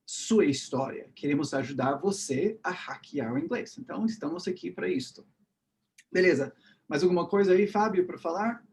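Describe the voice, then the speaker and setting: Animated man, teacher. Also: a little high, a little hiss or hum. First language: English. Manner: animated, repeats "né?" and "tá?" often. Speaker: English teacher and podcast host. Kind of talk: casual podcast chat.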